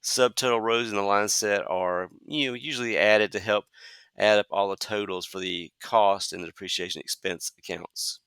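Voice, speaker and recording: A man in his fifties hosting a solo podcast, talking conversationally with frequent "uh" fillers and a slightly hoarse voice from a cold. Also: lowish voice, fairly even intonation, normal speed, a bit bright, no room sound. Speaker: podcast host.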